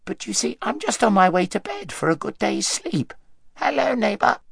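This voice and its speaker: A rambling, sleepy little hedgehog, as a male narrator puts him on, high and lilting with a gravelly edge. Easygoing and chatty, dry and close. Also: sing-song, moderate pace, no echo, exaggerated voice.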